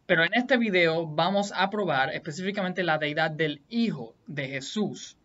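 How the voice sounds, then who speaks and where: A Puerto Rican man explaining Bible doctrine as the host of a teaching video, in a deliberate, point-by-point, repetitive way. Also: a little high, expressive, fairly bright tone, lo-fi sound. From Puerto Rico.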